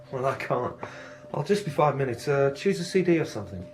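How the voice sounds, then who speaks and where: A man's voice: mid-range voice, very animated, normal speed, natural voice. A man playing an anxious, needy character with a guilty secret in a naturalistic radio drama, hesitant and pleading. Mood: casual, uneasy. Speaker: actor in a radio crime drama. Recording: faint background noise and ambience.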